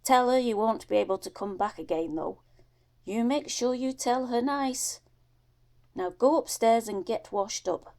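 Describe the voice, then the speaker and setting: A woman's voice: a little high, unhurried, a little mellow, pitched above the performer's own voice. A woman voicing a concerned, fussing mother in an audiobook, with an insistent, questioning manner. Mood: firm. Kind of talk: acted audiobook dialogue.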